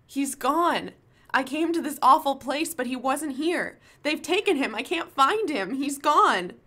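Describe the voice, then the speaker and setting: A woman's voice: high voice, animated, unhurried, bright, forceful tone, full character voice. A woman playing an anxious, shaken young woman in a radio drama. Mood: shaken, grieving. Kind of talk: radio play acting.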